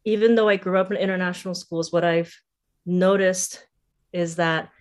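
A woman's voice: lowish voice, steady tone, normal speed. A middle-aged woman from Singapore and Thailand, an educator speaking as a podcast guest in a thoughtful, conversational way. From Singapore and Thailand, born in South Korea. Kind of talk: conversational interview.

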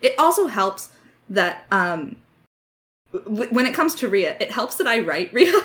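A woman talks in a relaxed creator Q&A as a writer and voice actor. Her voice sits at a middle pitch for a woman. She is informal and chatty, with a giggly way of talking. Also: measured pace. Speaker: lead writer and voice actor of an audio drama podcast. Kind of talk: casual creator Q&A chat.

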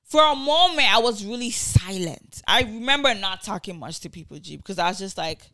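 A woman's voice: mid-range voice, brisk. A young woman with a Nigerian accent, chatting casually on a podcast with lots of slang and swearing. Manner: animated, swearing, slangy, jumps between loud and hushed. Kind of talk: casual chat.

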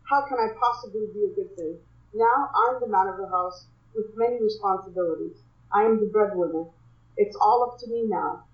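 A woman's voice: mid-range voice, normal speed, distant mic, lightly acted. A woman voicing a shy, nervous young man who repairs shoes, speaking hesitantly and sometimes stammering. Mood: bitter. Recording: muffled, echoey room.

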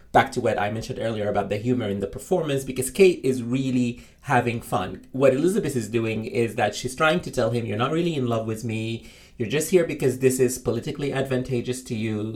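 A man with a mid-range voice talks films in casual conversation. He is animated and opinionated, breaking into laughs as he goes. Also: medium pitch. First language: Arabic. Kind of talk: casual film discussion.

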